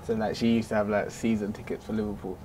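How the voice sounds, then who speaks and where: A man's voice: lowish voice, level tone, fast talker, noisy background. Easygoing young South London man. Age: young adult. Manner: easygoing, laughs often, slangy. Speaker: guest, rapper. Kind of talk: casual chat. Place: small carpeted room, seated in armchairs.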